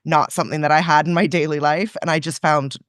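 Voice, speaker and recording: A woman's voice: low voice, steady tone, fast talker, no room sound, studio quality. A woman with a Canadian accent, a guest author talking casually in an interview, chatty and laughing often, with many fillers and restarts. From Canada and France.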